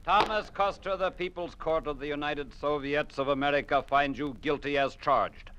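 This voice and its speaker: A man's voice: fairly high pitch, moderate pace, lo-fi sound, natural voice. Man acting stern show-trial judge, noisy old radio. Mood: stern, formal. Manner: formal, commanding, declamatory in court.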